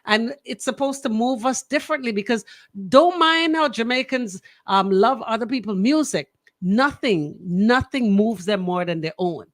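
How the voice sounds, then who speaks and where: A woman's voice: on the high side, expressive, moderate pace, dry recording. Female radio talk host, Bahamian accent. Emphatic, opinionated delivery in a dry studio. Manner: emphatic, repeats phrases for emphasis.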